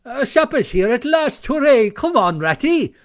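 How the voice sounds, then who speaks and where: A man voicing a boastful, excitable toad in a storybook, melodramatic, puffed-up and emphatic. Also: extremely high pitch, normal speed, voice pushed up, heavily acted. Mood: excited. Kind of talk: storybook character voice.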